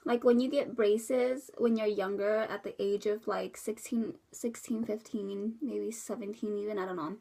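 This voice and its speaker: A woman's voice: medium pitch, fairly even intonation, moderate pace, creaky, hardly any pauses, warm tone. A young woman from the US Midwest, congested from a cold, giving a rambling casual update to camera with many fillers. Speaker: vlogger.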